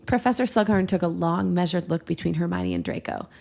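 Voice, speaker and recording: A woman podcast host reading fiction aloud in a casual, comedic and expressive way, with playful asides. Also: on the low side, fairly quick, gravelly.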